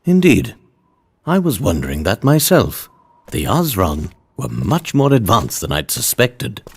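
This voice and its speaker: An actor playing a composed, courteous gentleman professor in an animated game, refined and thoughtful. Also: on the low side, unhurried, husky, full character voice. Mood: thoughtful, warm. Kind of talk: animated game cutscene acting.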